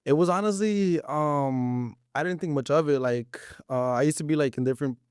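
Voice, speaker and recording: A man's voice: a little high, normal speed, darkish tone, clear, resonant tone, no room sound, studio quality. A man of about twenty, chatting casually as an interview guest in slangy English mixed with Spanish. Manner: slangy, informal, frequent swearing.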